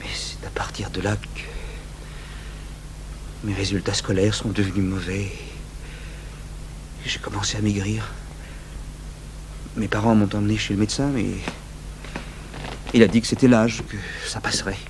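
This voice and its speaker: A low-voiced young man played by an actor, troubled and bitter, confesses in halting, stammered phrases. It is radio drama from an old, humming tape with heavy noise. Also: flat delivery, close to an everyday voice. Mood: haunted. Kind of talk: 1980s French-language radio drama acting.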